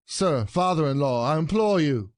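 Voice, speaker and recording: Casting: male actor as indignant, pleading husband in classic comedy, fairly high voice, dry close sound. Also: lively intonation, normal speed, no echo, natural voice. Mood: exasperated pleading. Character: wronged, exasperated husband, protesting in vain. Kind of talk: audio play of classic stage comedy.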